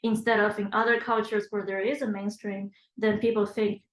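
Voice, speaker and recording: A woman's voice: medium pitch, level tone, moderate pace, roomy sound, rough recording. A woman in her thirties from China, whose first language is Chinese, thinking aloud in an oral history interview with fillers and restarts. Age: 30s.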